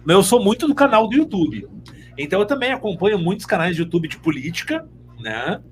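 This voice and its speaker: A man's voice: high-pitched, big pitch swings, a little fast, faint music behind. A middle-aged man, chatting casually as a guest in an emphatic, joking, exclamatory manner. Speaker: podcast guest, school teacher. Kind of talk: casual animated chat.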